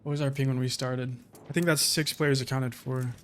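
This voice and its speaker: A man giving casual, calm commentary while streaming a shooter game, with a low-key delivery that shows little emotion. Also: moderate pace, a little hiss or hum.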